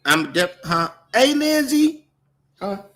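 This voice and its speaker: A man's voice: high voice, very animated, slow pace, fairly bright tone. An American man from California hosting a casual livestream, talking in a slangy, repetitive, confrontational way as he moderates the chat. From Oakland, California, US.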